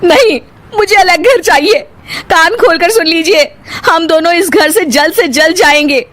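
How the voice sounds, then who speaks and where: A woman's voice: extremely high pitch, normal speed, fairly bright tone, pitched above the performer's own voice. A woman voicing a bossy, scolding elder daughter-in-law in a cartoon story. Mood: demanding.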